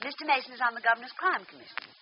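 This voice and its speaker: An actress playing a composed, dryly teasing legal secretary in a 1940s radio drama. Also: female voice, high-pitched, racing, close to an everyday voice. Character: cool, witty young female legal secretary. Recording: muffled old radio broadcast with hiss.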